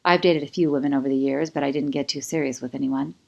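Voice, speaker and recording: A woman's voice: a bass voice, fairly even intonation, fast talker, gravelly, lo-fi sound. A woman voicing an intense, jealous young man, a wounded ex-boyfriend in an audiobook romance. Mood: matter-of-fact.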